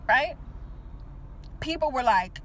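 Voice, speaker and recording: A woman's voice: high-pitched, lively intonation, slow pace, noisy background. Woman in her forties, chatty motivational talk. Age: 40s. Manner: talks to the viewer with repeated "right?" checks and emphatic repetition. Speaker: teacher and motivational speaker. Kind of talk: casual motivational monologue.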